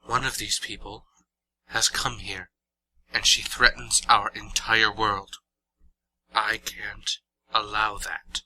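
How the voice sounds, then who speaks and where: Man acting an ancient haughty vampire lord, sharp-edged. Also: fairly low pitch, little pitch movement, takes it slowly, long pauses, no room sound, heavily acted, low-quality recording. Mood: grave, urgent. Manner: imperious, formal, disdainful. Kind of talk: dramatic audio drama acting.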